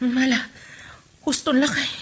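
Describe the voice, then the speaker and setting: A woman's voice: sits high, fairly even intonation, measured pace, a bit bright. A woman acting a fretful wife of a defeated candidate in a comedy radio drama.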